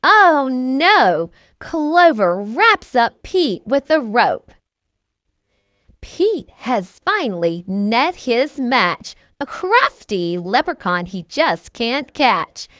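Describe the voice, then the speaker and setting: Very slow, high-voiced woman reading a storybook. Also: no room sound. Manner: expressive, deliberate storytelling. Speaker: children's story reader. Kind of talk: scripted read-aloud storybook narration.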